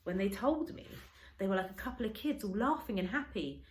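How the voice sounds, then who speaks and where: A woman teacher reading a children's storybook aloud with an expressive, dramatic storytelling delivery. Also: mid-range voice, brisk, roomy sound, poor audio. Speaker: schoolteacher.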